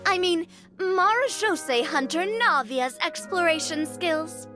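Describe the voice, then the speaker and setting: A woman's voice: very high voice, animated, takes it slowly, over music, exaggerated voice. An actress playing a spirited, proud young adventurer woman in a fantasy video game. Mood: proud, playful.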